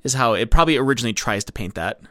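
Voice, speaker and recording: Male podcast co-host, average pitch, informal conversational recap delivery. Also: expressive, racing, fairly bright tone. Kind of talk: casual film recap chat.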